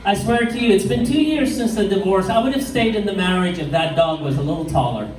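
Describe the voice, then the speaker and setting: High-voiced middle-aged Iraqi-accented comedian. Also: male voice. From Iraq. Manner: deadpan one-liners, slow punchline delivery. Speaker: stand-up comedian. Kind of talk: stand-up comedy set. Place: pub stage with live crowd. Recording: echoey room, mic heard from far off.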